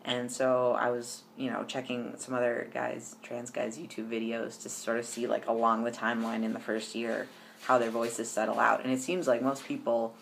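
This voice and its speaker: Young guy rambling to his camera at home, lots of ums, gravelly fry in the voice. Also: mid-range voice, monotone, normal speed, fairly bright tone, slight background noise. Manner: chatty, rambling, many ums and restarts. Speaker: vlogger. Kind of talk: casual personal update to camera.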